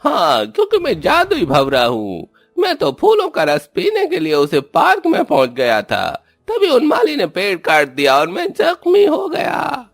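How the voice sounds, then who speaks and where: Wildly swooping, creaky little magic bumblebee. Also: mid-range voice, huge pitch swings, measured pace, few pauses, no echo, heavily acted. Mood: pained. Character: magical talking bumblebee, male, small, grateful and eager. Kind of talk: cartoon story character voice.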